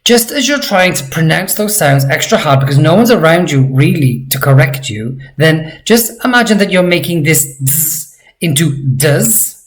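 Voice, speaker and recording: A man teaching a language lesson, explaining vocabulary patiently and repeating words slowly for practice. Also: on the high side, lively intonation, poor audio.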